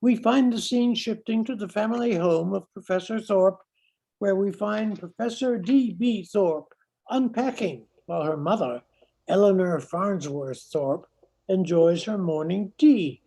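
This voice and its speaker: A woman with a husky voice reading scripted narration for a radio play. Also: medium pitch, a little slow, dark tone, rough recording.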